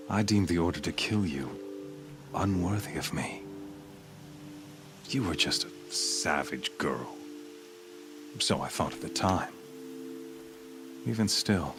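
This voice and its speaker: An actor playing a grandiose, menacing cult warrior villain in a video game, delivering pompous, measured monologue. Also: male voice, low-pitched, exaggerated voice. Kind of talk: dramatic video game acting. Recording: loud music under the voice.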